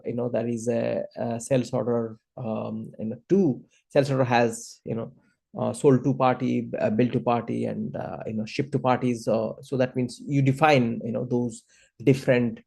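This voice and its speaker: A male instructor with a mellow, gravelly voice walks through a training lesson. He explains in a hesitant, halting manner, leaning often on filler words as he goes. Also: medium pitch.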